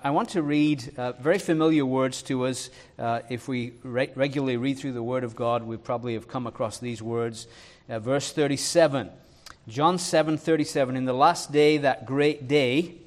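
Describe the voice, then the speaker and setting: A man preaching a sermon in an English accent from Leeds, mixed with Irish and American traces. He reads scripture slowly and with weight, and often pauses on "uh" and "um". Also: medium pitch. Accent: Leeds English, touched by Irish and American. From northern England. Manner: earnest, fills pauses with "uh" and "um".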